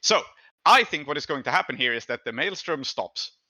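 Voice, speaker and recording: A man with a bright, forward voice narrates a tabletop roleplaying game as its game master. He weighs his rulings thoughtfully as he talks. The recording is a little dull and lo-fi. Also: medium pitch, animated, a little fast, no echo.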